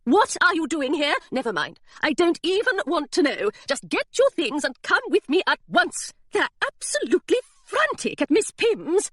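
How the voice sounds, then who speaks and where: A stern, prim governess, put on by a woman narrator, issuing high, clipped commands with lively swings in pitch. The recording is close and dry. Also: normal speed, hardly any pauses, dry recording. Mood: indignant. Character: stern prim female former governess, indignant.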